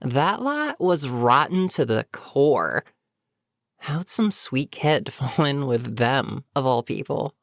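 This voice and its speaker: A voice actor playing a bitter, menacing goblin captain in a fantasy audio roleplay, speaking to a captive with sardonic, unhurried menace. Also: medium pitch, very animated, no echo, clean studio sound. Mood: wry, probing. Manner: sardonic, unhurried, matter-of-fact threats. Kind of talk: scripted fantasy audio roleplay, addressing the listener.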